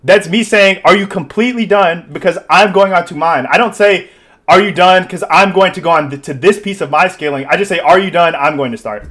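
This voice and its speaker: Loud insistent man arguing on a call. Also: high voice, fairly quick. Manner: loud, insistent, repeats himself over interruptions. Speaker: debater. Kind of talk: heated online debate.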